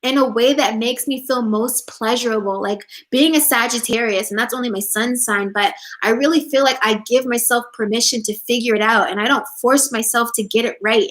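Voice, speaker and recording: Bright-voiced chatty young woman. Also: fairly high pitch. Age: 20s. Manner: chatty and upbeat, many "like"s, reads out viewer comments. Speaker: spiritual coach and vlogger. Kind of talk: casual livestream chat answering viewer questions.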